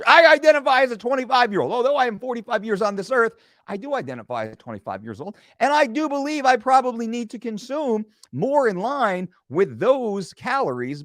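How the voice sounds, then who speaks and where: A man's voice: sits high, a bit bright, no echo, studio quality. A man in his forties talking through a screen-share fitness lesson, with joking asides. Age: 40s. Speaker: fitness coach.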